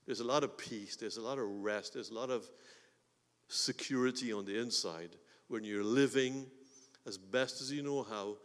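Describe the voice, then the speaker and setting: Guyanese-accented pastor preaching, gets loud and fired up then drops quiet, long echo off the hall. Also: male voice, medium pitch. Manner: animated preaching with sudden loud emphatic bursts. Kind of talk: sermon. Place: church sanctuary.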